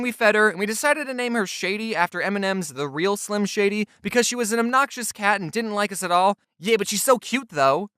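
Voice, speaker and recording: Playful storyteller with a lively, swooping delivery. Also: medium pitch, no room sound, clean studio sound. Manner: playful, self-deprecating, with deadpan asides and acted quotes. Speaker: storyteller narrator. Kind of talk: scripted comedic personal storytelling narration.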